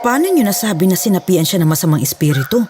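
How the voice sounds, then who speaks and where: A woman playing a curious, easily startled schoolteacher in her thirties in an audio horror drama, inquisitive and expressive. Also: on the low side, fast talker, noisy background. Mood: alarmed. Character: curious, startled 38-year-old female schoolteacher. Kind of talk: dramatized horror story dialogue.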